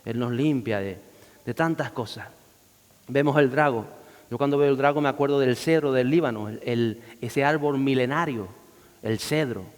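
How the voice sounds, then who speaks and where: A male pastor preaches in a mid-pitched voice. He rises in force whenever he quotes scripture. His words ring out with a long hall reverb. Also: moderate pace. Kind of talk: sermon.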